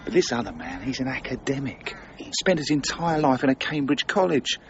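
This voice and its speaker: Glib flattering schemer, cocky and animated, period radio drama with some noise. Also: male voice, mid-range voice, brisk, highs cut off. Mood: cocky, scheming. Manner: smooth-talking, cocky, ingratiating. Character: glib, swaggering male con man, flattering schemer.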